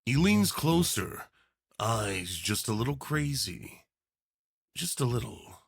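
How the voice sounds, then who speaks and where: A man narrating a scripted audio roleplay in the second person, in a hushed storytelling style. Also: medium pitch, sing-song, slow, creaky, husky, dry recording, studio quality. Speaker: narrator and voice actor.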